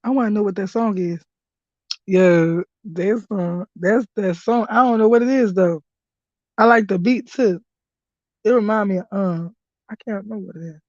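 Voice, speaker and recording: A hoarse woman hosting a live stream, talking in casual with loud, laughing, profane hype commentary. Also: medium pitch, moderate pace, no room sound. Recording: dry, dull lo-fi stream audio.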